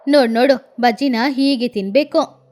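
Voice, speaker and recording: A woman's voice: high-pitched, normal speed, a little mellow, voice pushed down, lightly acted. A woman voicing a bossy, boastful, eccentric female crow in a cartoon story. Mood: self-assured. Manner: bossy, self-assured. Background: background music.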